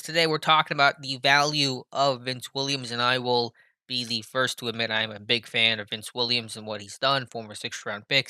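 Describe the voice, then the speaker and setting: Bright, forceful American male sports analyst. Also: mid-range voice, clean tone, dry recording. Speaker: sports analyst and video host. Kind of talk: casual film breakdown commentary.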